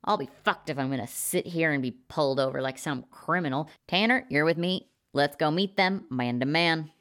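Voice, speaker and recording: A man's voice: fairly high pitch, normal speed, close to an everyday voice. A woman voicing a brash, hot-headed man. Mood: defiant. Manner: loud and indignant, swearing.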